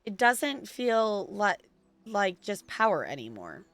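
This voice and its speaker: Bright-voiced, lively woman streamer. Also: medium pitch, slow pace. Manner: chatty, reactive, giggly. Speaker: game streamer. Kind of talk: casual live game stream commentary, chatting to viewers.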